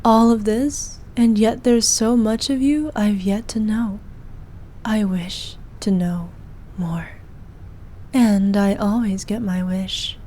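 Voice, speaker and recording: Lady playing evil queen for asmr, regal and possessive but doting, soft mellow whispery voice, bit of low rumble behind. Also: steady tone, close to an everyday voice. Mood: tender, possessive. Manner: regal, slow, archaic formal speech. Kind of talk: intimate ASMR roleplay acting.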